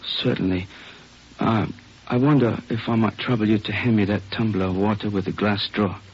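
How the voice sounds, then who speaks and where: Wounded polite male dealer, very husky, lowish voice, formal, hesitant, old radio drama. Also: fairly even intonation, normal speed. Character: courteous injured Chinese male jade dealer, formal, pained. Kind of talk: 1950s radio drama acting. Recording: muffled vintage radio drama recording.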